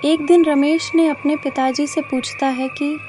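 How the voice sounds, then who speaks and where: A woman's voice: high voice, fairly even intonation, unhurried, background noise. A woman narrating a scripted horror cartoon story.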